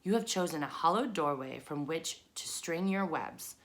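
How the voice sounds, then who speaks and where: A woman's voice: low-pitched, expressive, moderate pace. A woman voicing a young male pig, earnest, emotional and tender-hearted, in a children's storybook read-aloud. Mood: earnest, devoted.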